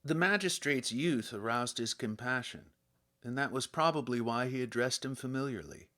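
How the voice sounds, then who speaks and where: Bright-voiced man reading a story aloud. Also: medium pitch, lively intonation, normal speed. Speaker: audiobook reader. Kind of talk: literary story narration.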